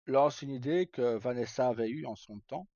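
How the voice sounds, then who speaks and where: A man's voice: mid-range voice, normal speed, warm tone. A man chatting casually to live viewers as a streamer, rambling and hesitant in colloquial speech with some swearing. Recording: dull lo-fi mic in echoey room.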